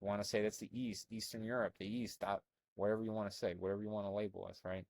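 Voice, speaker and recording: A young man from Albania who grew up in America, talking over on-screen text in a rambling, animated way full of fillers and restarts. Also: on the low side, fast talker. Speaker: health coach. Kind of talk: casual commentary reading from a screen.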